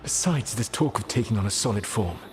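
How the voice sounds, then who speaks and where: An actor playing a wary, proud ancient spirit in a fantasy video game, speaking solemnly and formally. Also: male voice, lowish voice, expressive, brisk, close to an everyday voice.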